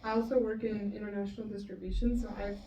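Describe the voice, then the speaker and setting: A woman's voice: mid-range voice, flat delivery, fast talker, warm tone. A woman in her twenties answering casually and explaining her views as a student on a panel. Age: 20s. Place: panel event room with ambient noise. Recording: roomy distant mic, faint noise.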